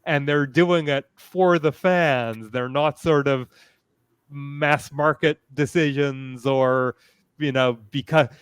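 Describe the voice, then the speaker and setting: Slow, smooth-voiced man discussing thoughtfully. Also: fairly high pitch, fairly even intonation, dry recording. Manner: hesitant, halting, thoughtful phrasing. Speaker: panelist. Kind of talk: fan panel discussion.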